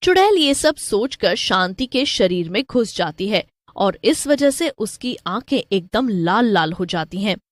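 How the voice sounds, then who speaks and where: A woman's voice: medium pitch, very animated, unhurried, few pauses, no echo, clean studio sound. A woman narrator giving a scripted narration of a cartoon story.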